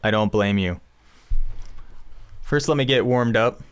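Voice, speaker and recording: A man's voice: lowish voice, measured pace, smooth. A young man giving a casual, informal music production tutorial as a screencast voice-over.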